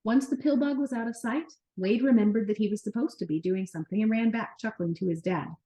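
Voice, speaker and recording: A woman reads a children's story aloud in a mid-pitched voice. Her delivery is expressive and animated, shaped for young listeners as a storyteller's narration. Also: brisk, poor audio.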